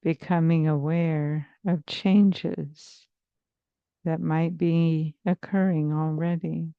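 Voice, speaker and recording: A woman therapist leading a guided meditation in a soothing, measured, hypnotic manner with long pauses, on slightly muffled audio. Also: deep voice, fairly even intonation, creaky, smooth, no echo. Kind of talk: slow guided meditation narration.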